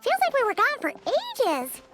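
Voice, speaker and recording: A woman's voice: very high voice, wildly swooping pitch, a little slow, over music, full character voice. A woman voicing a bubbly, bossy little girl adventurer in a video game, eager and exclamatory. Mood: bubbly, excited.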